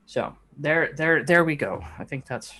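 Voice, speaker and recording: A middle-aged woman, an author being interviewed, talking casually and reflectively, often halting to search for words. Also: deep voice, animated, bright, forceful tone, slightly rough. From grew up between Athens, Greece and Alaska. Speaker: guest author. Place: home office, indoors, on a video call. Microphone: large desk microphone on a boom arm.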